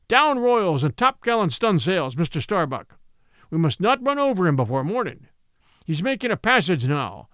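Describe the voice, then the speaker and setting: A man voicing a grim, obsessed old sea captain who booms his orders in an old-fashioned, declamatory way. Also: a bit bright, dry recording, studio quality, exaggerated voice. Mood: commanding. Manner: booming, declamatory.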